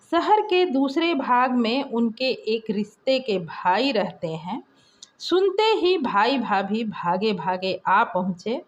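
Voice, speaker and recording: A woman narrating a scripted story as a storyteller, reading in a measured, expressive way. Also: fairly high pitch, muffled recording.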